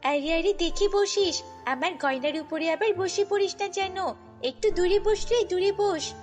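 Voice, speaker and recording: Woman as a shrill-high, haughty rich girl. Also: measured pace. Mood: bossy. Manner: haughty, taunting. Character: boastful, snobbish rich little girl who mocks the poor. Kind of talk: children's fairy-tale cartoon voicing. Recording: long reverb, music bed.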